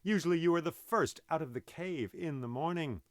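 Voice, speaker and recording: A man's voice: fairly high pitch, brisk, no echo, studio quality, pitched above the performer's own voice, natural voice. A man voicing a vengeful blinded Cyclops. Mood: tender. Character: blinded one-eyed giant, huge and vengeful.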